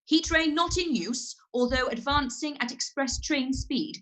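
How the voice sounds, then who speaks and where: A composed radio news announcer reads a string of urgent bulletins in a radio drama, as a woman plays her. Her voice sits a little high, and her delivery stays crisp and steady throughout. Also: measured pace. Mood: grave. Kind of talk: scripted bulletin reading.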